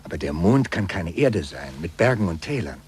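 Sceptical, awestruck man. Very breathy, animated pitch, vintage radio drama. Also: normal speed, exaggerated voice. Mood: disbelieving. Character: awed, doubting male friend of a scientist. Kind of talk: 1940s radio acting.

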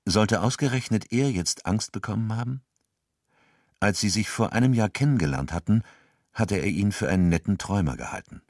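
A man's voice: fairly low pitch, lively intonation, fairly quick, a little mellow, no echo, clean studio sound. A man narrating a crime radio drama from a script as its storyteller.

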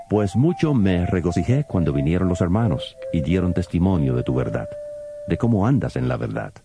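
A man giving a solemn, measured reading of scripture, his voice dropping to a hush at the ends of verses. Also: sits low. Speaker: Bible reader. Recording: guitar music under the voice. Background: plucked guitar music.

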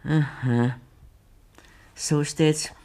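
An actress with a Bavarian accent playing a wronged, defiant middle-aged farmer's wife with sharp, biting retorts in a radio play. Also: female voice, low-pitched, fairly even intonation, very slow, heavily acted. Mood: bitter.

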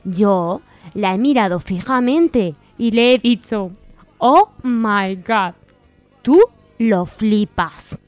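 A woman's voice: mid-range voice, slow pace, dry recording, soft background music, close to an everyday voice. A woman playing a naive, indignant posh young woman in a parody advice letter, with affected, melodramatic talk. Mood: snobbish, dismayed.

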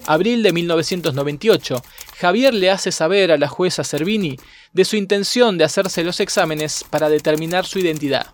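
A man's voice: sits high, a little fast, few pauses. A man reads scripted documentary narration, setting out events date by date.

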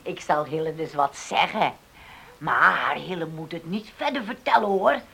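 A woman's voice: low-pitched, lively intonation, unhurried, gravelly, pushed and bright, full character voice. An actress playing a strong-willed, outspoken farm widow and mother in a radio drama, teasing and defiant. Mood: mocking.

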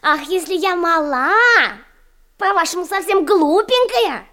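A woman's voice: very high-pitched, a little slow, a bit bright, heavily acted. A woman playing a stubborn, pert young schoolgirl in a radio play, with a childlike manner. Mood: defiant. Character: cheeky, stubborn, self-righteous young schoolgirl.

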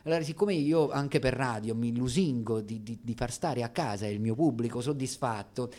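A man delivering an intimate comic theatre monologue to radio listeners, halting and ironically courteous, as if in muttered asides. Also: medium pitch, darkish tone.

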